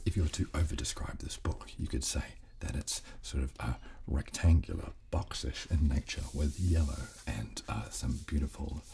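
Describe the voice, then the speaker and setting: A man in his thirties hosting a soft ASMR chat close on a desk microphone, hushed, halting and polite. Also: very deep voice, vocal fry, slight background noise. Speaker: host of an ASMR channel. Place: small furnished studio room, indoors. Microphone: close desk condenser microphone.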